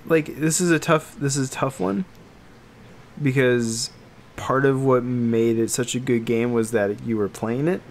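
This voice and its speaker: A man co-hosting a casual podcast chat about games and films, talking in a halting, meandering way that trails off. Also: medium pitch, a little hiss or hum. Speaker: podcast co-host.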